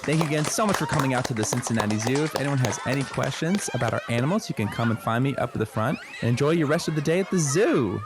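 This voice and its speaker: A man's voice: medium pitch, animated, fast talker, few pauses, lightly acted. A man playing a polite, patient but weary zookeeper, explaining things hesitantly in an improvised comedy radio play. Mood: announcing. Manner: hesitant, placating, trailing explanations.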